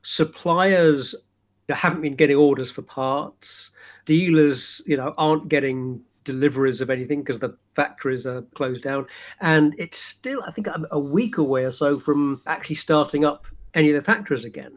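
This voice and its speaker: A man co-hosting a podcast, explaining things at length in a relaxed, conversational way. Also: on the high side, moderate pace. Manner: explains at length, many "you know" fillers. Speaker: podcast co-host. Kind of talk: casual podcast chat.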